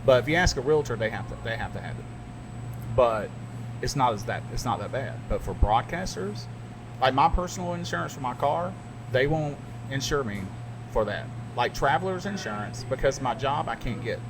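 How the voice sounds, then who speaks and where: A middle-aged man with a Southern US accent, a radio broadcaster chatting casually on a podcast, animated and quick to jump up in pitch when he makes a point. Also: background noise. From American South. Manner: animated storyteller, jumps up in pitch when emphatic.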